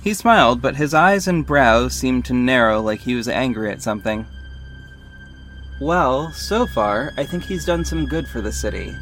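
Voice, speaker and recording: A man's voice: mid-range voice, expressive, normal speed, a bit bright, faint music behind. A man playing a guarded, wry agency owner who narrates an audio drama in the first person and speaks its dialogue. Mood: measured, wary.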